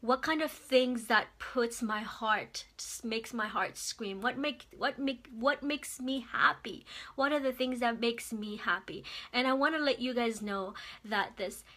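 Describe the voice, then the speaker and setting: A young woman from Mozambique speaks to an online group in a bright, ringing voice that sits a little high. She talks earnestly and from the heart, repeating phrases to drive them home, like a guest speaker on a phone or webcam livestream. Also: a little slow. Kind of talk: motivational talk.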